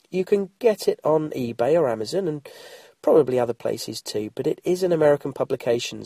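A middle-aged Englishman hosts a solo podcast in an informal, self-deprecating way. His voice is soft-edged and dark, husky and bunged up with a cold. The sound is very dry and close, with wry asides dropped into the chat. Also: medium pitch, no room sound. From England. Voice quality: congested, throaty. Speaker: podcast host. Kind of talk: chatty solo podcast introduction.

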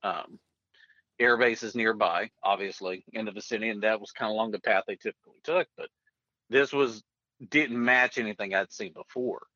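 A man's voice: fairly low pitch, normal speed, bright, forceful tone, no room sound, highs cut off. A middle-aged American man from Oklahoma telling a personal story as an interview guest in a folksy, rambling way. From northeastern Oklahoma, USA. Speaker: guest, retired Air Force veteran.

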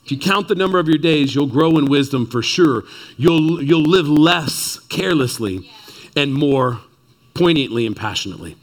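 A man in his thirties from Texas preaching a sermon to a seated congregation, building to excited peaks and dropping low and quiet. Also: moderate pace. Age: 30s. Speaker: pastor. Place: church before a seated congregation.

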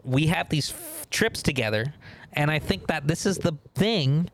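A man co-hosting a casual podcast with friends, excitable, rambling and swearing freely. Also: a little high, a little slow. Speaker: podcast co-host.

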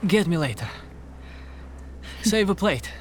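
An actor playing a terse, grieving freed prisoner of war with a Russian accent, solemn and naturalistic. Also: male voice, high-pitched, very animated, slow pace, noisy background, heavily acted. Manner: curt, solemn. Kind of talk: naturalistic audio drama acting.

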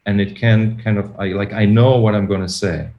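A man's voice: fairly low pitch, fairly even intonation, normal speed, muffled recording. Man, hesitant, roomy sound. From Germany. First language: German. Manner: hesitant, searching for words, many restarts. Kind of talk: casual conversation.

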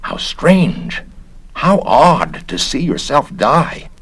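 A man voicing a detached, mildly curious gentleman in a storybook reading, with a wondering manner. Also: mid-range voice, very animated, very slow, slightly rough, voice pushed up, heavily acted.

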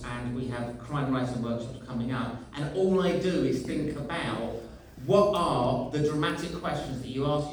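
A man introduces himself on a festival panel and speaks slowly, in a measured, explanatory way. He sounds distant. The hotel function room is very echoey, with faint noise behind him. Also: medium pitch, a little mellow. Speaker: author and writing course director. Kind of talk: panel talk.

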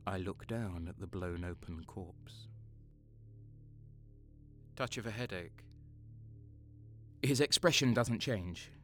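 An actor playing a sadistic, cold-hearted traitor soldier who narrates his own story in a measured, intense audio drama style. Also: sits low, sharp tone, soft background music, exaggerated voice. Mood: cold, brooding.

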